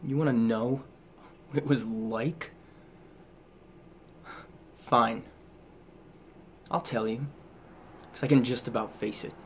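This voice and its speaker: A man performing a dramatic monologue as a haunted, guilt-ridden soldier back from war, halting and intense, with heavy pauses. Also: medium pitch, fairly even intonation, a little hiss or hum. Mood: bitter, resigned.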